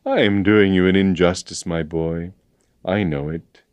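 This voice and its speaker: A man's voice: fairly low pitch, measured pace, smooth, no echo, lightly acted. A man voicing a stern, sentimental old colonel with a grave, emphatic military manner, in a storybook reading. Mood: kindly. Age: older.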